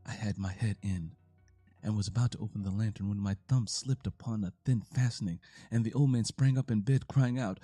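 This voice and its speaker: A man giving a dramatic first-person narration of a horror story, theatrical and deliberate, with pauses for suspense and a husky voice. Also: on the low side, dark tone, soft background music.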